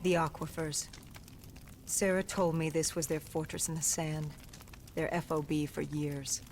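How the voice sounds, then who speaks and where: A woman's voice: low-pitched, level tone, a little slow, a little mellow, slight background noise. An actress playing a grave, determined female soldier in a video game, speaking with serious intensity. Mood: grave, haunted. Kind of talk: dramatic video game acting.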